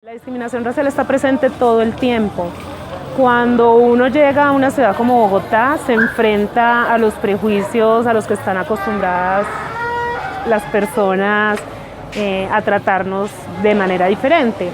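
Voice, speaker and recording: A woman in her thirties with a Colombian paisa accent recounts her experiences as a lawyer in an earnest, steady manner. Her voice sits a little high. Outdoor city sounds run faintly behind her. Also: normal speed, over music. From Pereira, Colombia. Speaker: lawyer interviewee. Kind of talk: personal testimony.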